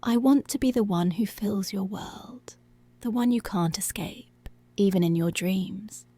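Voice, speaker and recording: A woman playing a commanding, seductive policewoman in an intimate ASMR roleplay, speaking slowly and deliberately in a breathy voice that often drops to a whisper. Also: mid-range voice, mellow, no room sound, natural voice. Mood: controlling, possessive. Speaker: ASMR voice actor.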